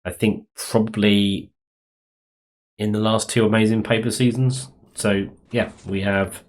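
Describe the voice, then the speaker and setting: Man, low voice, slightly hoarse and croaky, casual game commentary, soft music behind. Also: level tone, unhurried, rough recording. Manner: rambling, with many ums and restarts. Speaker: Minecraft let's play host.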